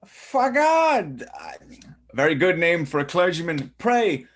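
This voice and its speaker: An actor playing a commanding young gentleman, with a theatrical, declamatory delivery. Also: fairly high pitch, big pitch swings, slow pace, exaggerated voice. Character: young gentleman arresting a traitor, male, commanding. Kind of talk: stage-play reading.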